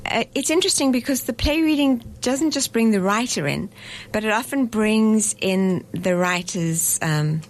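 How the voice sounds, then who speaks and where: A woman's voice: mid-range voice, lively intonation, moderate pace. A woman being interviewed in a radio studio as a festival director, explaining things step by step in a chatty way and laughing easily. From South Africa.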